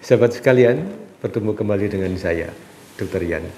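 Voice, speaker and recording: A man speaking as a doctor who explains health questions from viewers in a calm, measured way, his voice congested from a cold and slightly nasal. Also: lowish voice. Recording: echoey room with faint background noise.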